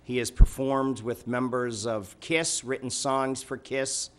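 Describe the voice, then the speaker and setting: A man chairing a city council meeting, giving informal remarks and updates in a halting, conversational way. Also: medium pitch, level tone.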